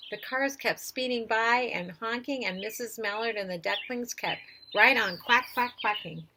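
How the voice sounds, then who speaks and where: A woman reading a children's picture book aloud in an expressive way, with little asides to her listeners. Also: a little high, moderate pace. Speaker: storybook reader. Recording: noisy recording with chirping birdsong.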